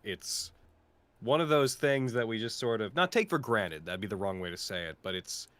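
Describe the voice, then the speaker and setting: A man's voice: on the low side, animated, moderate pace, a bit bright, dry recording. A man talking casually and sincerely on a cast panel, rambling earnestly as he goes. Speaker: cast member of an audio drama. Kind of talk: casual heartfelt panel chat.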